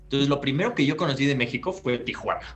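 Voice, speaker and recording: A man, an actor recounting his career in an interview over a video call from a bright living room. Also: medium pitch, quick pace, over music. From Peru. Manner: animated, gestures while recounting, restarts phrases. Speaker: guest actor. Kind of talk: storytelling interview answer. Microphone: laptop webcam on video call.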